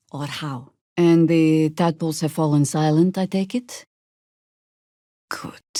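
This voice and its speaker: A middle-aged fantasy warrior druid, stern and wry. She speaks slowly and deliberately in a slightly low register, with dry wit and natural authority. It is video game character work, with no room sound around the voice. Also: a little mellow, dry recording.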